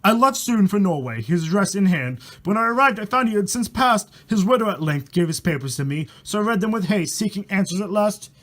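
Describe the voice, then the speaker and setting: A man's voice: high voice, animated, fairly quick, few pauses, fairly bright tone. A man reading a rhyming horror story aloud in a dramatic, irreverent way, stumbling over words and swearing in casual asides. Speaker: amateur storyteller and host.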